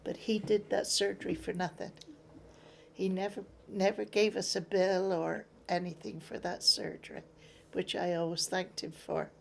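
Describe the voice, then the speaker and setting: A woman's voice: on the low side, flat delivery, normal speed, creaky, a little mellow, a little hiss or hum. An older woman from England with an English accent, recounting her memories in an interview in a plain-spoken way. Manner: plain-spoken storyteller, acts out remembered exchanges.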